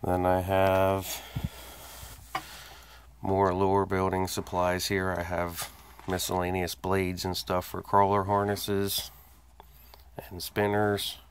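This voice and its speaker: A man's voice: low voice, completely flat delivery, slow pace, pauses often, slight background noise. A man giving a casual, matter-of-fact tour of his home fishing workshop, filmed on a phone he holds himself. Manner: plain, matter-of-fact listing with hesitant fillers. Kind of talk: casual walkthrough narration. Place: cluttered home workshop indoors. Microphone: handheld phone camera held by the speaker.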